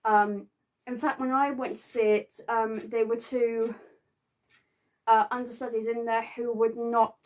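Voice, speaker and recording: A woman chatting casually to viewers as a vlogger, halting and sometimes stumbling over words. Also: on the high side. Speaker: vlogger reviewing a theatre visit. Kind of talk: casual unscripted chat to viewers.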